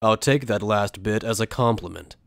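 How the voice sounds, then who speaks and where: A man's voice: lowish voice, normal speed, gravelly, no echo, studio quality. A man acting in an anime fan dub as an earnest, principled young officer who is also a journalist, speaking in a sincere, insistent way. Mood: wary. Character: earnest male Soul Reaper lieutenant and principled journalist.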